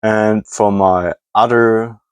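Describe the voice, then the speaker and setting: A low-voiced man with a German accent talks through a casual hobby vlog in English, his second language. He rambles, hunts for words and corrects himself as he goes. The sound is dry and close, with little room echo. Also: steady tone, takes it slowly, clean tone. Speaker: scrapping hobbyist.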